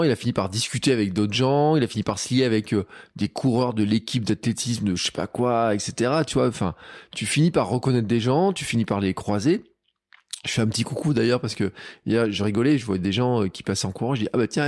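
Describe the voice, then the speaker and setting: A man's voice: medium pitch, no echo, studio quality. Chatty man hosting a podcast. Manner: chatty, animated storytelling with rising emphasis. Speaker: podcast host. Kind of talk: casual conversation.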